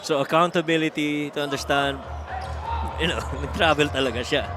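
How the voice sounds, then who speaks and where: A man's voice: fairly high pitch, steady tone, brisk. A man with a Filipino accent giving explanatory live basketball analysis, moving between English and Tagalog. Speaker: color commentator, game analyst.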